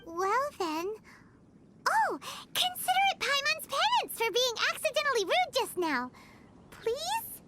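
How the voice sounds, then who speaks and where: A woman voicing a small, excitable, childlike fairy companion in an anime video game, cute, eager and exclamatory. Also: very high-pitched, unhurried, very noisy, full character voice. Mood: eager pleading. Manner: speaks of herself in third person, exclamatory. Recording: music behind the voice.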